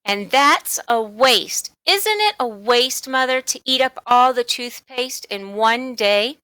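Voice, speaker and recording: Woman voicing a bossy, bright-voiced tattling little sister. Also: a little high, expressive, slow, dry recording, pitched above the performer's own voice, full character voice. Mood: insistent tattling. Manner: insistent, emphatic. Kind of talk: children's storybook read-aloud.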